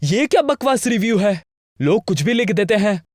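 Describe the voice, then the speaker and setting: A man playing a frightened, short-tempered young friend in an animated horror story, speaking in a dramatic, urgent way. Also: high voice, moderate pace, dry recording, studio quality. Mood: dismissive.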